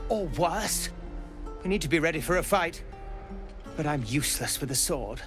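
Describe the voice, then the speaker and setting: An actor playing a frustrated young refugee practicing swordplay, exasperated and snappish. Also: male voice, on the high side, expressive, moderate pace, music in the background, lightly acted. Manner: exasperated, snappish, self-critical. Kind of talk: video game dialogue.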